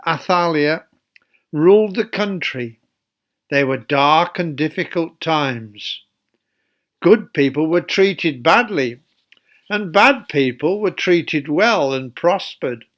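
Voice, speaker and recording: A man with a slightly high voice tells a children's Bible story at a very slow, deliberate pace. He addresses young listeners directly, in the style of a church storyteller reading aloud. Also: fairly bright tone.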